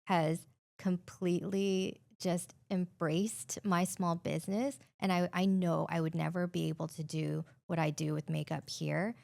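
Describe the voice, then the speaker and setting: A woman's voice: lowish voice, flat delivery, a little slow, creaky, hardly any pauses, a little mellow. A woman in her forties co-hosting a podcast, chatting casually and telling a personal story full of "like" fillers. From Hawaii and California. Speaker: podcast co-host.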